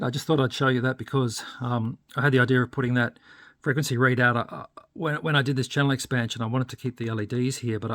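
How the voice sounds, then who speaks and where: Man, mid-pitched voice, dry close sound, informal explainer, halting delivery, hobbyist show-and-tell. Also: no room sound. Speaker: electronics hobbyist presenter. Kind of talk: casual hands-on demonstration.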